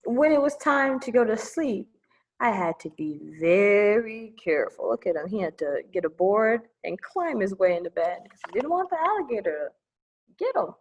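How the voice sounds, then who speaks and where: A woman's voice: medium pitch, big pitch swings, normal speed, muffled recording. A woman hosting a children's storytime, reading aloud playfully with casual, exclamatory asides. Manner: playful, exclamatory, commenting as she reads. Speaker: children's librarian storytime host.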